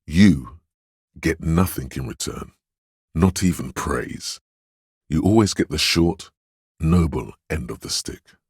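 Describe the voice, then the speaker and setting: An actor voicing a solemn, grandiose inner voice of authority in a video game, speaking in a pompous, ceremonious and deliberate manner. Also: male voice, very deep voice, lively intonation, darkish tone, husky, no echo, studio quality, exaggerated voice. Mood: solemn praise. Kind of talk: scripted game voice lines, theatrical narration.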